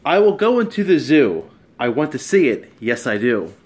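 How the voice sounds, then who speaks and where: A middle-aged man giving an expressive children's storybook read-aloud at home. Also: medium pitch, normal speed. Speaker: storybook reader. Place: small bright room at home.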